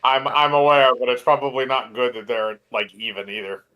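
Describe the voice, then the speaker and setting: A man with a bright, forceful voice at an ordinary male pitch. He chats casually as a gaming co-commentator, argumentative and analytical. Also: a little fast, poor audio. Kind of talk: casual gameplay chat.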